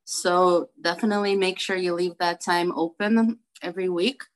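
A woman's voice: fairly low pitch, steady tone, normal speed. A woman from Brooklyn, co-hosting a video call meetup in a friendly, informal way. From Brooklyn, New York. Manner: friendly, informal, with fillers and restarts. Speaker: co-host.

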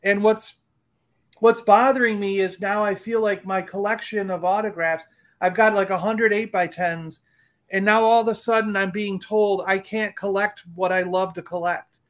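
A man's voice: high-pitched, steady tone, normal speed, fairly bright tone. A middle-aged man in casual chat, talking in a rambling, emphatic way and repeating himself. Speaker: collector and panelist on a fan livestream.

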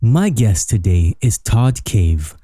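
A man from Barbados hosting a podcast, reading a measured scripted intro and then asking questions. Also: fairly low pitch, sing-song, mellow, no room sound, clean studio sound. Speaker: podcast host.

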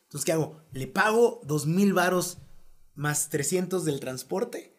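Brief: Mexican male co-host, animated and explanatory, slightly raised pitch. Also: measured pace. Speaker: podcast co-host. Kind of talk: casual chat.

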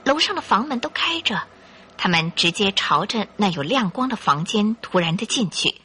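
A woman narrator reading a scripted radio drama in an expressive, dramatic storytelling manner. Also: mid-range voice, normal speed, husky.

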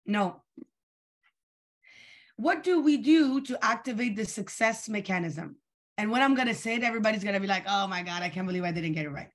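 A young woman leading a live online coaching session, energetic and asking her audience questions, her voice a little tired and worn. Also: medium pitch, a little fast. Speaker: coach leading a weekly training call.

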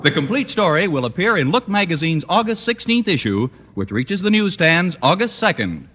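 A man's voice: sits high, big pitch swings, normal speed, music in the background. A man reading a script as a polished announcer for an old-time radio show. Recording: noisy vintage radio recording.

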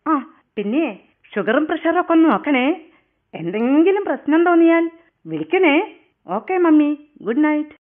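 An actress playing a daughter on a video call in a radio play, sweet and overly solicitous, heard through a phone effect. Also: female voice, high voice, animated, moderate pace, long pauses. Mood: sugary. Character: daughter on a video call, sugary and falsely caring. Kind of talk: radio drama acting. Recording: tinny phone line.

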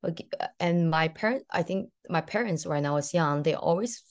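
Young woman, Hong Kong accent, low voice, hesitant and full of fillers while she tells her story. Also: moderate pace. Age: young adult. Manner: hesitant, many fillers and restarts. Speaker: podcast guest, graduate student. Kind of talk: casual interview storytelling.

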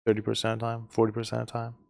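A man's voice: lowish voice, completely flat delivery, rapid-fire, creaky, a little mellow. A middle-aged man from Iran, chatting casually as a podcast guest, often repeating words while he searches for phrasing. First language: Persian. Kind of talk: casual conversation.

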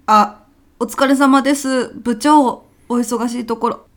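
A woman's voice: on the high side, fairly even intonation, takes it slowly, over music. A woman playing a polite, dutiful office employee briefing her boss in a comedic audio drama, with a businesslike, deferential manner. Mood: apologetic. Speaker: former stage troupe actress.

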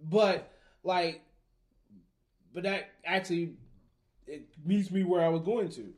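A young man in casual conversation, arguing slowly with many restarts and false starts. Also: high voice, a little mellow. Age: young adult. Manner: hesitant, restarts a lot, laughs. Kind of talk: casual debate chat.

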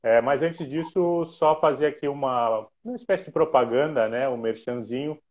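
A man's voice: mid-range voice, normal speed. Chill podcast host guy, teasing his guests, audio a bit muffled. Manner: relaxed, teasing, many fillers. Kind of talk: casual conversational podcast.

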